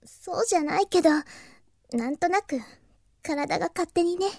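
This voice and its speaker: A childlike catgirl in an anime audio drama. The voice is extremely high and cutesy, recorded dry and close. She speaks softly, with naive kindness. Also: moderate pace, creaky, dry recording. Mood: concerned. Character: innocent, kind-hearted young catgirl, childlike. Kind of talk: Japanese anime-style audio drama acting.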